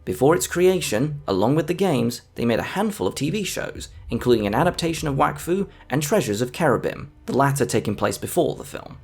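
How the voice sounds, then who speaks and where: A man's voice: medium pitch, expressive, brisk, vocal fry, few pauses, husky. A man giving scripted video essay narration as a film reviewer, with an enthusiastic, emphatic delivery. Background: background music.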